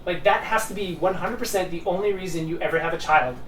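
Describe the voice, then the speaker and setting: A man's voice: high-pitched, fast talker, fairly bright tone. A man talking casually and off the cuff about ideas, rambling and repeating words for emphasis. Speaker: host of a discussion video. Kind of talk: casual unscripted philosophical talk. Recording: roomy, distant mic with faint noise.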